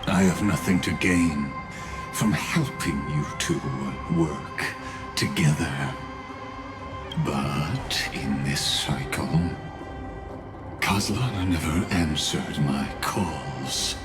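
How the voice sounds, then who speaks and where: Very slow, deep, cryptic scholar. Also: male voice, music in the background, full character voice. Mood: measured, brooding. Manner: slow, deliberate, portentous, theatrical pauses. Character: grave, cryptic, scheming male scholar of fatalistic temper. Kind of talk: dramatic video game voice acting.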